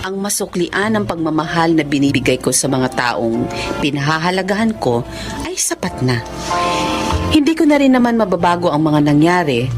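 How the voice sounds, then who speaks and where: Lively, devoted caregiver. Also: female voice, sits low. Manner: fussing, brushes off sentiment. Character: devoted, long-suffering, brisk female caregiver and narrator. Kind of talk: Filipino radio drama acting.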